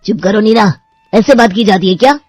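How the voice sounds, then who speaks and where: A woman's voice: medium pitch, brisk, darkish tone, dry recording, clean studio sound, faint music behind, lightly acted. A woman voicing a stern, frustrated middle-aged father in a cartoon story. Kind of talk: Hindi cartoon story voice acting.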